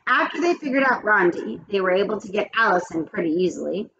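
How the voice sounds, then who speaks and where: A woman in her forties, a teacher, reading a children's chapter book aloud in an expressive, animated way at a webcam in a small home office. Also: medium pitch, brisk, creaky. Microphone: webcam at desk. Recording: dull lo-fi webcam audio.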